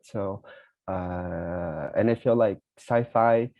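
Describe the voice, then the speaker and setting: A man's voice: low voice, slow, no room sound. A man answering as a filmmaker at a festival Q&A, hesitant and full of fillers. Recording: dull lo-fi audio.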